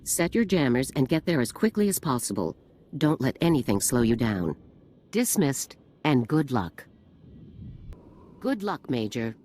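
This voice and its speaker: An actor playing an authoritative, composed general who briefs troops in a formal, crisp military way in a video game. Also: male voice, medium pitch, sing-song, measured pace, darkish tone, a little hiss or hum. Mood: commanding, composed. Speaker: military commander giving briefing. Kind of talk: scripted video game dialogue.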